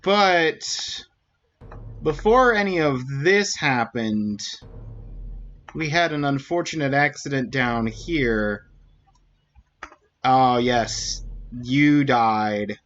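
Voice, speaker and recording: Casting: male streamer, relaxed gameplay commentary, unhurried musing delivery, muffled lo-fi mic. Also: mid-range voice. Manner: thinking aloud, reasoning through clues.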